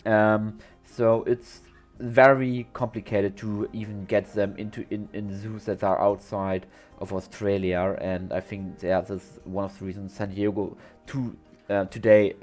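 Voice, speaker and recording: Young man, lowish voice, has a cold, rambling casual game commentary, faint music underneath. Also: darkish tone. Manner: chatty and rambling, many restarts. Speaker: zoo-building game creator.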